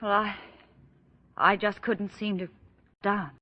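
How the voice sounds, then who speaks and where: An actress in an old-time radio drama playing a distressed, guilt-ridden woman with a halting, pleading manner. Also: medium pitch, steady tone. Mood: subdued, uneasy. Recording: muffled old radio recording.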